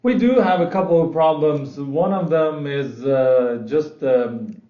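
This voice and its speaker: A man's voice: a little high, unhurried. A man who grew up in Sweden, role-playing a business client who describes his company's problems, often repeating words as he goes. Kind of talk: role-play sales meeting. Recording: dull sound in an echoey room.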